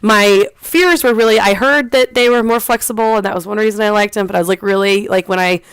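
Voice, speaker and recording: A young woman rambles through her experience in a relaxed, chatty way, peppered with ums, restarts and small laughs. Her voice is smooth and clear. The sound is dry and close, with no room echo. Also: mid-range voice, brisk. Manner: laughs lightly between phrases. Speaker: online English teacher. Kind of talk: casual vlog chat.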